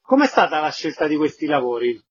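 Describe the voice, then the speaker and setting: A man's voice: a little high, sing-song, a little fast, husky. A man presenting a radio interview as the host. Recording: muffled, band-limited radio interview audio.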